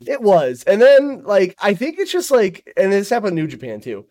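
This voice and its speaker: A man from the United States chatting as a podcast guest, animated and self-mocking, with casual swearing. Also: high-pitched, normal speed. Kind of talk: casual chat.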